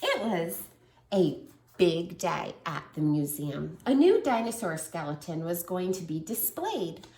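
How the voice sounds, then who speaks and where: A woman teacher reading a picture book aloud to a small child, lively and encouraging. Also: on the low side, unhurried, echoey room. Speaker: preschool teacher. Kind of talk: children's read-aloud lesson.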